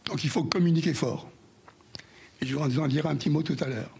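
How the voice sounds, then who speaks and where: A hoarse older man giving a speech to an audience, halting and repeating words as he recalls past events. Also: a little high, a little mellow, a little hiss or hum. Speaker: former union president giving an anniversary speech.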